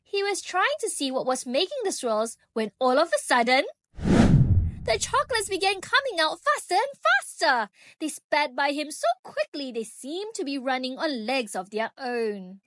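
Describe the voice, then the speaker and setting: A woman reading a children's book aloud in an expressive, animated way, drawing out key phrases for young listeners. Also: high voice, unhurried, no room sound, clean studio sound. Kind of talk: expressive storybook read-aloud.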